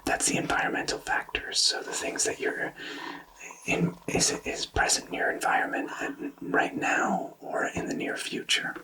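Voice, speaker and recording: A man softly whispering a tarot card reading in a thoughtful, explanatory way, with hesitant phrasing. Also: normal speed, recorded from a distance, poor audio. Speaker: tarot reader.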